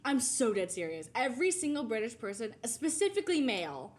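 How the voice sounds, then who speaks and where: A woman's voice: a little high, sing-song, a little fast. Blunt, swearing young-sounding woman. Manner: blunt, profane, laughing. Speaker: podcast co-host. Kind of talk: casual chat.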